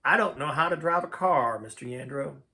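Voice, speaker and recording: Male reader as plainspoken mountain man, storybook character, unhurried, folksy, bright tone. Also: on the high side, creaky. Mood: firm, plain. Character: plainspoken, steady country balladeer, mountain guide.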